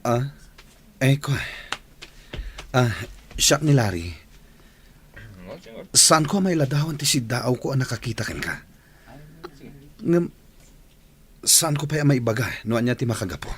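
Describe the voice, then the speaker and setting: Animated, flustered Ilocano radio-drama engineer. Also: male voice, medium pitch, measured pace, long pauses. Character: flustered, insistent male engineer in radio serial.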